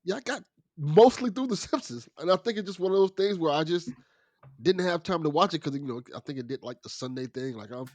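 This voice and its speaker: A man's voice: a little high, lively intonation, fairly quick, darkish tone, no echo. A man chatting casually and conversationally as a guest on a podcast. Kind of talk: casual chat.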